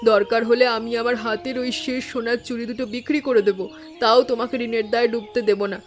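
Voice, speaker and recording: A middle-aged woman voicing a kindly, steadfast village mother in an animated family drama. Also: fairly high pitch, fast talker, natural voice. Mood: soothing. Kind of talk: animated moral story voice acting. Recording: faint music behind the voice.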